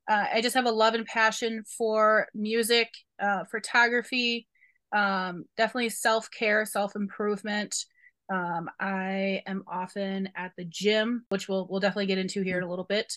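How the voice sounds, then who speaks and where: A woman's voice: mid-range voice, fairly bright tone, no echo. A woman in her forties from the Chicago area, a podcast guest chatting in a friendly, talkative way. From Chicago area, USA. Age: 40s. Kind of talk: casual interview chat.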